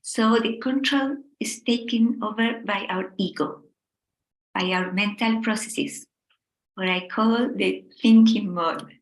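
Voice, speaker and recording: A middle-aged woman from Spain with a Spanish accent, gently leading a meditation talk in short, unhurried phrases with pauses. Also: medium pitch, reverberant, poor audio. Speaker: meditation teacher.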